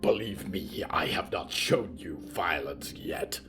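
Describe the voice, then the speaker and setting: A low, whispery green monster with claws acted out by a man. He snarls boastful threats slowly and with menace, as in a dark audio-fiction tale. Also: music in the background. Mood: menacing.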